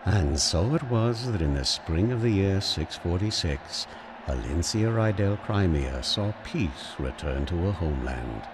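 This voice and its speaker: A man narrating a fantasy game as a solemn storyteller of legend, measured and stately. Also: low voice, animated, noisy background.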